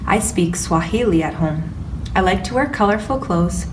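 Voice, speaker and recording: Woman reader as a quiet young girl, storybook; low voice, distant mic, noisy. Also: expressive, moderate pace, roomy sound, close to an everyday voice. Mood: gentle. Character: gentle young village girl. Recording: distant mic with background noise.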